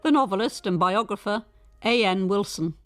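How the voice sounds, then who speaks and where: A woman's voice: medium pitch, normal speed, no echo. Lady radio presenter, articulate n emphatic, dry studio, faint music underneath. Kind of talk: scripted narration and conversational interviewing.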